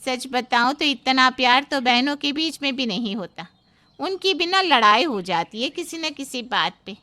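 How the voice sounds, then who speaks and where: A woman's voice: high voice, expressive, normal speed, pushed and bright. A woman voicing a chatty, weary middle-aged neighbour in a cartoon moral story, gossipy and given to complaint. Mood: admiring.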